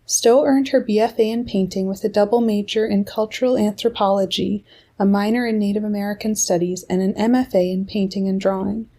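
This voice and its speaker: A woman with a mellow, warm voice reads a guest introduction for her podcast. Her delivery is measured and steady, clearly from a script. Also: medium pitch. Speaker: podcast host.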